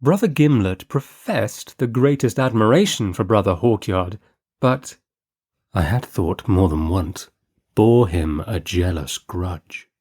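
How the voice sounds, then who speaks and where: A man reading a classic short story as an audiobook narrator, in measured, deliberate storytelling. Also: on the low side, lively intonation, darkish tone, slightly rough. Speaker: audiobook reader.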